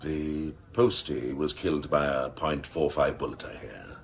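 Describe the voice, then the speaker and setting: A man's voice: unhurried, a little hiss or hum. A man playing a scheming, gleefully cynical senior police officer in a radio drama, with a theatrical, sardonic way of relishing his words. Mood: sly, sardonic. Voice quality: very deep, gravelly.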